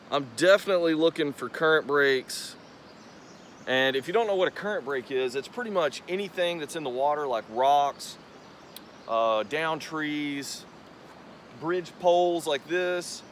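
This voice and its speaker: A man's voice: on the high side, lively intonation, a little hiss or hum. A young man hosting a fishing vlog, chatting casually and explaining his technique while casting from a riverbank, recorded outdoors on a camera set on a tripod. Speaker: fishing channel host. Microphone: tripod camera at a distance. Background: rushing river current.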